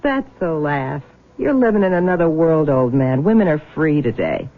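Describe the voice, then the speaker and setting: An actress playing a scornful, restless younger wife in a 1970s radio drama, taunting and dismissive with a hard edge. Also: female voice, low voice, very animated, normal speed. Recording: muffled, band-limited vintage radio broadcast.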